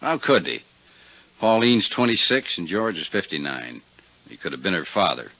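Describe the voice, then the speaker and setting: An actor playing a folksy, easygoing older small-town Western sheriff in a 1950s radio drama. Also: male voice, low voice, big pitch swings, moderate pace, pushed and bright, no room sound, heavily acted. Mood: frank, reflective. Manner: folksy, drawling out hesitations. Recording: dull 1950s radio recording.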